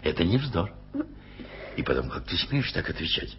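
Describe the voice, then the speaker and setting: A man's voice: low-pitched, very animated, moderate pace, a little hiss or hum. An actor playing a kindly, flustered older male journalist in a 1980s radio play, coaxing and muttering to himself. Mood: playful. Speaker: lead character in a radio play. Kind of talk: 1980s radio drama acting. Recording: lo-fi archival radio recording with music.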